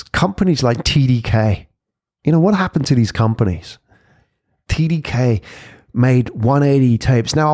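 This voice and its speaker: A man's voice: medium pitch, darkish tone, no room sound, studio quality. A man in his forties talking in a casual, conversational podcast monologue, reflective and fond of rhetorical questions and tags. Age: 40s. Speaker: podcast host.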